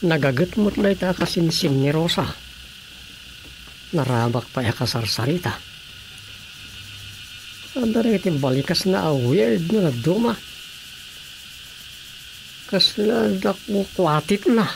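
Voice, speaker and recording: An actor playing a skeptical, teasing brother-in-law in an Ilocano radio comedy drama, expressive and questioning, with an Ilocano accent. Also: male voice, fairly high pitch, measured pace, gravelly, long pauses. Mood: grumbling. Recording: faint noise with night insects.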